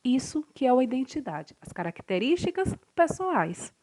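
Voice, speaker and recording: A woman reads definitions aloud for a lesson in a steady, explanatory way. Her voice sits a little high and her pitch rises and falls a lot. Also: normal speed. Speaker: narrator of definitions.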